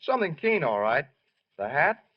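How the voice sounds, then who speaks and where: A man's voice: on the high side, big pitch swings, moderate pace, highs cut off. An actor playing a smitten, boyish young serviceman in a 1940s radio advertisement, on an old recording.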